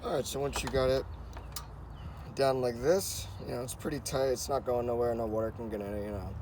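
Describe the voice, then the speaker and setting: A man with a middling pitch talks through a practical job in a casual, informal way. He guides the listener step by step, often reusing the same instructional phrasing. There is faint noise and vehicle ambience around his voice. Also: brisk. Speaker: host of a how-to car channel. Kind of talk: casual hands-on tutorial talk.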